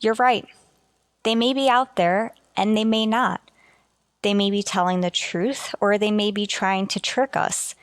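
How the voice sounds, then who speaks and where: Woman as slow-spoken, earnest starship officer. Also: medium pitch, steady tone, dry recording, studio quality, lightly acted. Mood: subdued, uncertain. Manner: deferential, earnest, deliberate. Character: dutiful female starship officer, earnest, hopeful. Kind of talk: golden age radio sci-fi drama acting.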